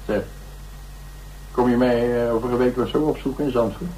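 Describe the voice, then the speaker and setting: An actor playing a gruff but caring male companion with a Dutch accent, speaking hesitantly and affectionately in a naturalistic radio drama. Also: medium pitch, steady tone, moderate pace, dark tone, slight background noise, lightly acted, poor audio. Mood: tender.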